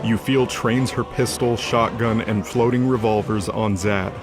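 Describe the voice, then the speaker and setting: Low-voiced man narrating over music. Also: steady tone, unhurried. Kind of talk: scripted dramatic fantasy narration. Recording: loud music under the voice.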